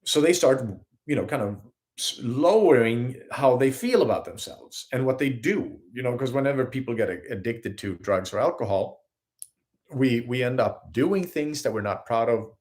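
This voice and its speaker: A man's voice: medium pitch, moderate pace. A man with a Swedish accent speaks to his listeners in an earnest, conversational way. His mid-range voice rises and falls a lot as he talks, and he keeps acting out short everyday scenes to make his points. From Sweden. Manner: earnest, animated, acts out little scenes in quotes. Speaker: spiritual healer and host. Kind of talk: live-streamed spiritual talk.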